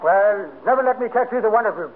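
An actor playing a gruff, bossy, suspicious Irish policeman who barks orders in a 1940s radio comedy. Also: high-pitched, fast, natural voice. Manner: barking, blustering orders. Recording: scratchy, tinny 1940s radio recording.

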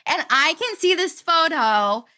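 A woman's voice: very high voice, lively intonation, a little slow, sharp tone. A woman hosting a casual interview, talking in a gushing, slangy way. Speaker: host, interviewer. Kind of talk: casual chat.

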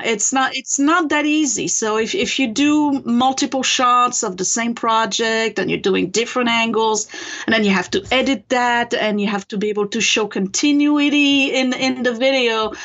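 French-accented woman, higher voice. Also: moderate pace, highs cut off. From France. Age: 50s. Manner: earnest and expressive. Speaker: podcast guest, YouTuber. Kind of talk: casual interview chat.

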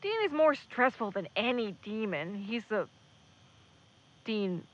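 A woman's voice: medium pitch, very animated, slow pace, dull audio. A woman playing an earnest, hesitant young male pre-med student in a naturalistic audio drama. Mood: sheepish, confiding.

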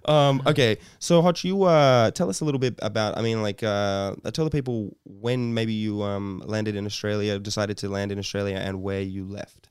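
A man's voice: lowish voice, fairly even intonation, normal speed, smooth, no echo, clean studio sound. A man hosting a casual podcast chat, joking and rambling, with some swearing. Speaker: podcast host.

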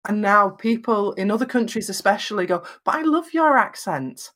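A woman from northwest England with a Lancashire accent and flat vowels, giving encouraging advice about presenting on camera in an emphatic, conversational way. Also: mid-range voice, normal speed. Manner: emphatic, encouraging, acts out little quotes. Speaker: guest giving video advice.

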